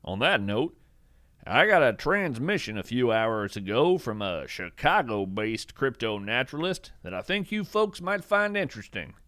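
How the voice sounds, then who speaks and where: A man's voice: medium pitch, big pitch swings, normal speed, fairly bright tone, dry recording, clean studio sound. A man playing a whimsical, earnest naturalist of strange creatures, narrating a fiction podcast in a folksy, musing, theatrical way. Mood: earnest reflection.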